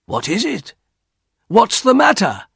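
Tired old husband, acted by a narrator: frightened, sorrowful, animated pitch, emphatic protests. Audiobook. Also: slightly rough, dry recording, studio quality, exaggerated voice. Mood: alarmed, puzzled. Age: older. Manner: anxious, drawn-out emphatic protest. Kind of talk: audiobook character acting.

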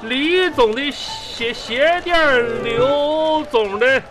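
A man's voice: extremely high pitch, animated, over music, heavily acted. A man playing an eager, pompous company security captain with a heavy comic stutter in a radio comedy sketch. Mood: pompous, boastful. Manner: pompous, fawning, halting official speech. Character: stammering, self-important male company security guard captain, eager and obsequious. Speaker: radio comedy show host.

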